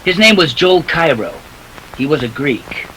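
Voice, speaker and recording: A man's voice: a little high, animated, a little slow, sharp tone, a little hiss or hum, poor audio. A man acting a wisecracking, cool private detective in a 1940s radio play, clipped and wry. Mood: brisk, matter-of-fact.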